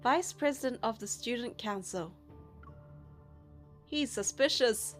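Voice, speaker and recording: Young female playthrough narrator, high register, scripted story reading with faint music behind. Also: animated, slow, a bit bright. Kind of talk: scripted narration and dialogue read aloud.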